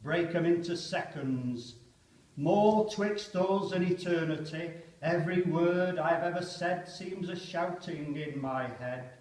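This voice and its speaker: A man's voice: a little high, slow. Man reciting as a fatalistic trench soldier, echoing church. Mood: stunned, fatalistic. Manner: dramatic declaiming with sudden loud shouted countdown calls. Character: British First World War soldier awaiting the attack, stunned, fatalistic. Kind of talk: dramatic poem recitation. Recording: echoey church, distant microphone.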